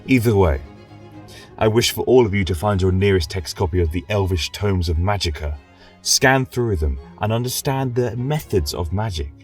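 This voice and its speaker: A man's voice: sits low, lively intonation, moderate pace, faint music behind. A man playing a genial, rambling lecturer at a college of magic, speaking in a flowery, coaxing way in a scripted fantasy audio drama. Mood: encouraging. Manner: flowery, gently coaxing, chuckling scholar.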